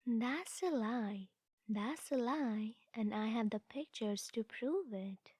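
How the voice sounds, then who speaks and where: Woman acting a slow, breathy, smug yandere sister. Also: on the high side, darkish tone, no echo, studio quality. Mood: offended. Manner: haughty, deliberate, cooing to the listener. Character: smug, possessive, scheming yandere sister, sweetly condescending. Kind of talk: ASMR comedy audio roleplay.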